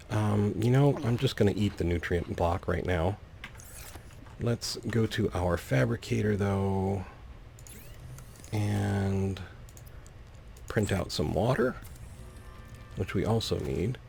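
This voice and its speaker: A man giving casual let's play commentary, thinking aloud in an unhurried, playful way. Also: lowish voice, creaky, darkish tone, a little hiss or hum. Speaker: gaming video host.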